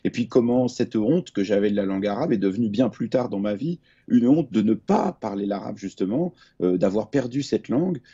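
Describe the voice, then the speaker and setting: A man in his forties, born in Lebanon, speaking as an author and guest on a panel over a video call in a calm, reflective way. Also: lowish voice, a little fast, few pauses, husky, dull audio. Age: 40s.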